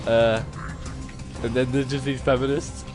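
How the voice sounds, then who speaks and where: A man's voice: mid-range voice, level tone, a little slow, music in the background. A young man chatting casually while gaming, telling anecdotes and giving opinions. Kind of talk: casual chat while playing a game.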